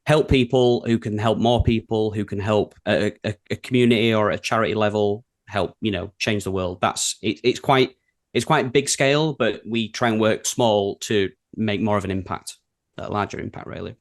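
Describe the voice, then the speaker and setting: A man's voice: on the low side, normal speed. A man with a broad Yorkshire accent, a creative director chatting easily as a guest in an interview, with plenty of ums. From England. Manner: easygoing, chatty, with frequent ums. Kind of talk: casual interview conversation.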